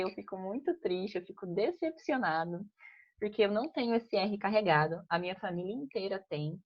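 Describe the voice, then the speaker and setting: A young woman from Piracicaba in Brazil, teaching a casual grammar class and often checking in with "né?". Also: fairly low pitch. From Piracicaba, São Paulo state, Brazil. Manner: conversational, frequent "né?" tags and restarts. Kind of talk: informal online grammar class. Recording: muffled lo-fi audio.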